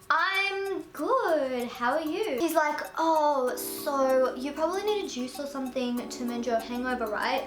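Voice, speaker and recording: A young woman from Russia telling a storytime in casual chat, full of "like" and lively quoted voices. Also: echoey room. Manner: chatty, fills speech with "like", jumps high for quoted voices. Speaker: vlogger.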